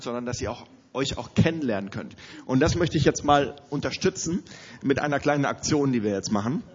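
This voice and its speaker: A man's voice: medium pitch, highs cut off. A man with a cold, energetically addressing a conference audience and giving them instructions. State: has a cold, slightly strained voice. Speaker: conference host for youth leaders. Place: large conference hall with audience.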